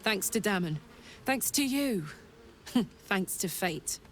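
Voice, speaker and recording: A woman's voice: mid-range voice, animated, slow, a little hiss or hum. A woman playing a big-hearted, brash soldier in a video game, speaking earnestly and bluntly with playful banter. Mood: joyful. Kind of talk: video game character dialogue.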